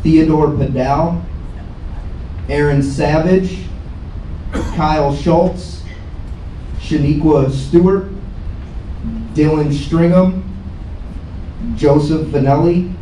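Slow-speaking American man in an echoey hall. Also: a little high, fairly even intonation, dark tone. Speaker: military ceremony speaker. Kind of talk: reading out a list of names at a banquet. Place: indoor banquet hall with PA at a podium, filmed from the audience. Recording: echoey banquet hall, distant mic, faint noise.

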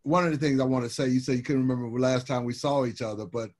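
An older man from Birmingham, Alabama, speaking as a guest on a video call. He tells his stories in an easygoing, rambling way, full of "you know." His voice sits in a middle pitch for a man. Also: steady tone, racing, darkish tone. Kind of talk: casual interview storytelling. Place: home room with wood shutters and framed pictures.

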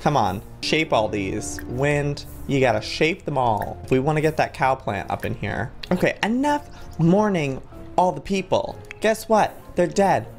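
A man in his twenties giving playful gameplay commentary, excitable, with exaggerated reactions. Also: on the high side, a little slow, faint music behind. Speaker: gaming video host.

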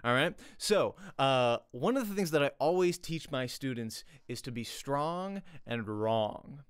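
Animated man teaching, clear dry voice. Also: medium pitch, unhurried, no echo. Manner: conversational, checks in often with "right?". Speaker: acting teacher, voice actor. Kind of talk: casual livestream lesson on script analysis. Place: small home room. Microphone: close studio microphone with pop filter, headphones.

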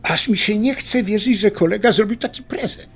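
A man's voice: sits high, a little fast, breathy edge. A man playing an enthusiastic, wry fellow in an audio drama, speaking in a colloquial, joking way. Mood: amazed. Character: enthusiastic, wry male motorcycle lover facing surgery.

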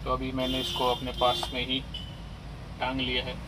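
A man's voice: mid-range voice, completely flat delivery, normal speed. A man casually demonstrating and reviewing a product in plain, repetitive explanations. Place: small cluttered shop, indoors. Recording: distant mic, loud vehicle-like noise.

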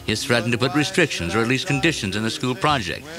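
A man's voice: medium pitch, expressive, quick pace, music in the background. A man working as a radio news reporter, reading a scripted editorial in an emphatic, opinionated way.

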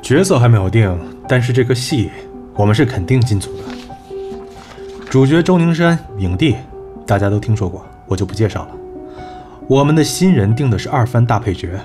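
A man playing a cool, assured agency executive in an audio drama, measured and persuasive. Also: medium pitch, expressive, music in the background, natural voice. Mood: confident, persuasive. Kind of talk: Chinese audio drama acting.

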